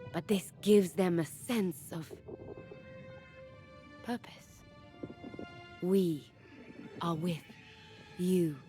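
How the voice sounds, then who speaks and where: A pensive plant creature from a fantasy game. She speaks extremely slowly in halting fragments, with a gentle temper and a slightly low female voice. Music plays clearly behind her. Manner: halting, musing, speaks in short broken phrases. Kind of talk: scripted video game character dialogue.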